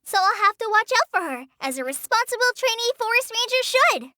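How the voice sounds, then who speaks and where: A woman's voice: very high-pitched, sing-song, no echo, clean studio sound, full character voice. A woman voicing a shy, earnest teenage girl in a video game, hesitant and apologetic in the way she talks. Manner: hesitant, apologetic, soft-hearted, halting with stammers. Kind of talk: video game character voice lines.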